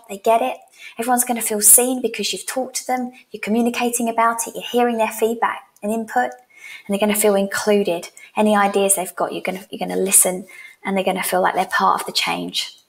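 A woman's voice: fairly high pitch, level tone, fairly quick, vocal fry. A woman in her thirties presenting as a sales coach in an instructional style, direct and encouraging, speaking into a headset mic. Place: small room at home, indoors.

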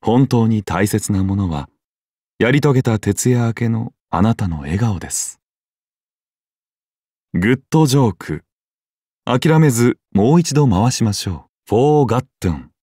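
Game sheep deity: solemn, polite deadpan with whimsical swings in intonation, dry close sound. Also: male voice, lowish voice, unhurried, long pauses, husky, no echo. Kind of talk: scripted game character voice lines.

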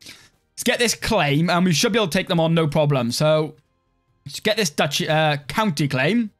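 Young guy streaming a strategy game, slightly high voice, chill and rambly, says like a lot. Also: normal speed, soft background music. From Wales. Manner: relaxed, rambling, heavy use of "like". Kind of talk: live-stream gameplay commentary, reading chat.

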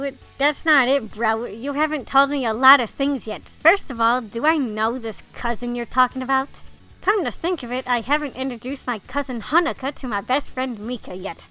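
Bright, high-pitched woman playing a visual novel. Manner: reactive, informal, mild swearing. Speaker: gamer reading a visual novel aloud. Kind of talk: casual playthrough with read-aloud dialogue and reactions.

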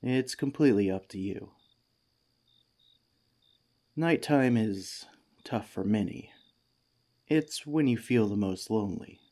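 A man playing a kindly, patient head teacher in a gentle, soothing ASMR comfort roleplay. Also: on the low side, expressive, slow, long pauses. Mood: tender, comforting.

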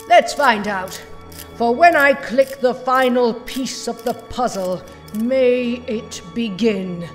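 Woman acting scornful female scientist, audio drama, precise, slow, reverberant, music underneath. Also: medium pitch. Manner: imperious, precise, disdainful. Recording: long reverb, faint music behind.

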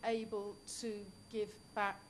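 A woman's voice: mid-range voice, level tone, slow pace, slight background noise. A young adult woman speaking at a conference panel table, answering a question with many fillers and restarts. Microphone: table microphone.

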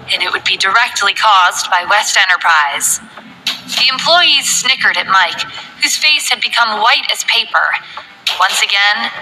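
A woman narrating a romance novel as an audiobook in a dramatic, expressive way. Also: fairly high pitch, slight background noise, rough recording. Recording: faint background music.

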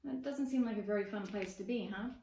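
A woman's voice: mid-range voice, quick pace, recorded from a distance, slight background noise. A woman in her forties with a South African accent reading a picture book aloud expressively, with questions to young listeners. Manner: expressive, addressing children with questions. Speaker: children's book author and reader. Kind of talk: storybook read-aloud with asides to young listeners. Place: indoor room with bookshelves and plants. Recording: roomy echo, away from the mic.

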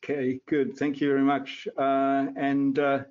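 Older male moderator, slow and monotone, dull call audio. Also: mid-range voice, smooth. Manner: halting, many fillers. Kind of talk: moderating a video panel.

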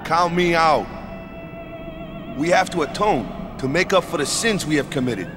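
An actor playing a stubborn, devout, hot-headed young athlete in a video game, talking colloquially with little tag questions. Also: male voice, a little high, lively intonation, a little slow, over music. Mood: indignant. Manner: colloquial, tags sentences with yeah, you know.

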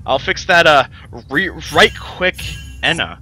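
A young man doing casual live commentary on a college esports match, smooth-voiced, laughing easily and correcting himself as he goes. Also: fairly high pitch, expressive, unhurried, bright, forceful tone, soft background music. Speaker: esports caster.

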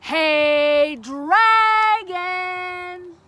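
A woman's voice: high-pitched, lively intonation, a little slow, fairly bright tone. A woman voicing a bold, clever young princess from a storybook, emphatic and exclaiming. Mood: shouting.

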